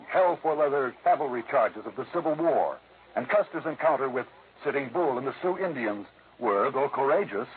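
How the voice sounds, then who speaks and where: A man announcing in 1950s radio style, reading scripted narration in a measured, declamatory way. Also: medium pitch. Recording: narrow, faintly noisy old radio recording.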